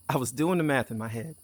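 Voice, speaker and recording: Sci-fi audio drama sidekick: casual, teasing, candid crewmate, middle-pitched voice, dry studio sound. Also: male voice, breathy edge, no room sound. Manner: casual, conversational. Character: easygoing, teasing, candid male crewmate on time-travel mission. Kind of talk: naturalistic audio drama dialogue.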